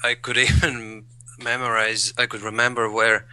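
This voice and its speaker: A man's voice: medium pitch, level tone, moderate pace, bright, forceful tone, no room sound, rough recording. A man, a guest in an interview, speaking thoughtfully and repeating words as he searches for phrasing. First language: Latvian. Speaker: guest, English learner. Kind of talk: conversational interview.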